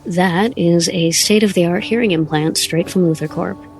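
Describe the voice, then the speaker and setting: An actress playing a silky, scheming female crime boss in an audio drama, coy and smug with measured delivery. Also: lowish voice, a little mellow, faint music behind, lightly acted.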